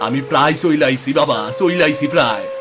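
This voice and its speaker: A man's voice: fairly high pitch, fairly quick, music in the background, close to an everyday voice. A man playing a protective village father with a rural Bangladeshi accent in a dramatized moral story. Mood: urgent, reassuring.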